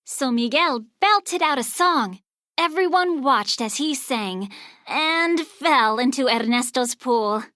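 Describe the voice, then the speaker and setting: A woman narrating a children's storybook aloud in an expressive, scripted read-along style. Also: high-pitched, slow, no room sound, clean studio sound.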